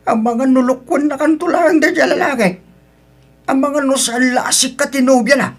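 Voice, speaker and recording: A man's voice: very high voice, normal speed. A man acting in an Ilocano radio drama as a wary, disapproving older father of a grown daughter, speaking with an Ilocano accent.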